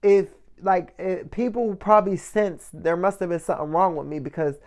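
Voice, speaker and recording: Man with a light soft voice, motivational vlog talk, chatty, keeps checking in with listeners. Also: high voice, normal speed. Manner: conversational, checks in often with "right?" and "you know". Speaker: motivational vlogger. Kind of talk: casual motivational monologue.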